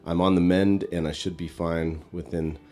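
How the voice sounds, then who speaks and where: A man's voice: deep voice, normal speed, soft background music. A man hosting a podcast, talking casually with loose fillers, his voice congested as he recovers from an illness. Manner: relaxed, conversational, loose fillers. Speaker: podcast host. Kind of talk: casual podcast intro and sponsor read.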